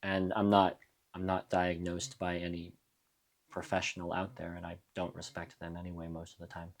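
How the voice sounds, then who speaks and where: Young guy w a really deep low voice, musician guest, slow thoughtful chat, lots of restarts. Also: completely flat delivery, vocal fry. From USA (New Mexico). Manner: reflective, halting, frequent restarts. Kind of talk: casual interview chat.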